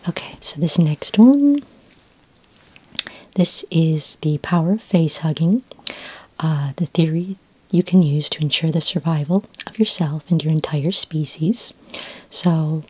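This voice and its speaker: A woman with a low, mellow voice speaks very softly, close to a whisper, with plenty of breath in it. She plays a friendly, patient sci-fi librarian in an ASMR roleplay, guiding the listener gently and without hurry. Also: breathy edge. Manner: gentle, unhurried, helpful customer-service patter. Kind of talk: soft-spoken ASMR roleplay. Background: computer keyboard typing.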